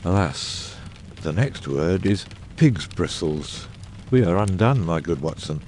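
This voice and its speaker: A man playing a brilliant, exacting, eccentric detective in a dramatised audiobook, speaking with precise, erudite phrasing and deliberate pauses. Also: sits low, lively intonation, a little mellow, slight background noise. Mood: wry, musing. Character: brilliant, eccentric middle-aged male detective, exacting and theatrical.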